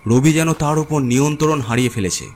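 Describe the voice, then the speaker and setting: A man's voice: a little high, a little fast. A man reading a scripted horror story as its narrator.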